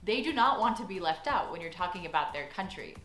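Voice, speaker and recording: A woman's voice: on the low side, very animated, fast, fairly bright tone. A woman giving friendly, earnest advice in a scripted vlog. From United States. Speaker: vlogger. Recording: echoey room with background music.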